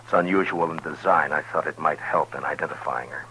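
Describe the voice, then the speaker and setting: An actor playing a grave, weary frontier lawman in 1950s radio western style, speaking with restraint and deliberate pauses. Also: rumbling low, pushed and bright, a little hiss or hum, full character voice, rough recording. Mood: grave, earnest. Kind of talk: 1950s radio western acting with first-person narration. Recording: 1950s radio broadcast with music.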